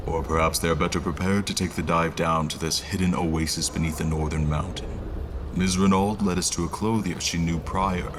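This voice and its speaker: A man acting as a weary noble traveler with a very low voice, telling his tale in an audio drama. His phrasing is formal and measured, and clear background ambience runs behind him. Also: noisy background, natural voice. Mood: measured narration. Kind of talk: scripted first-person story narration.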